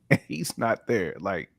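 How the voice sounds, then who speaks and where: This is a man with a very low-pitched voice. He talks casually as a panelist in a relaxed-format hip hop discussion. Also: very slow, vocal fry, no room sound, studio quality. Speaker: talk show panelist.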